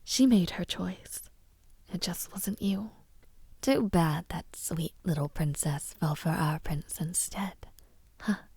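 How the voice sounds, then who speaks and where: A woman playing a haughty young villainess princess in an audio roleplay, mockingly sweet and menacing, teasing and condescending in a breathy near-whisper. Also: on the low side, no room sound, exaggerated voice. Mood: cool disdain. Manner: slow, teasing, condescending, with soft taunts. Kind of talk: audio roleplay addressed to the listener.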